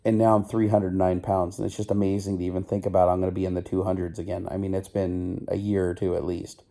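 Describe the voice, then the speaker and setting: A middle-aged man vlogging casually, his voice still slightly rough and stuffy from a cold, rambling and joking at himself. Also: low-pitched, level tone, fast. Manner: rambling, self-mocking, loud. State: stuffy nose. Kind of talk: casual video diary.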